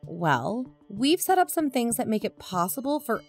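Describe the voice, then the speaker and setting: An actress as a woman co-hosting an investigative podcast, with a lively, swinging intonation. She narrates conversationally and with concern over faint music. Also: fairly high pitch, normal speed. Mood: concerned, explanatory. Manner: conversational, expressive. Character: animated, concerned female investigative podcast co-host. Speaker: co-host. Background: background music.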